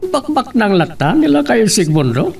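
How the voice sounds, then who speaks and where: A man's voice: very high-pitched, sing-song, moderate pace, gravelly, darkish tone, breathy edge, recorded from a distance, exaggerated voice. An actor playing a confident wealthy boss and father in a radio drama, with a Filipino accent. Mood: businesslike. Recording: off-mic, music underneath.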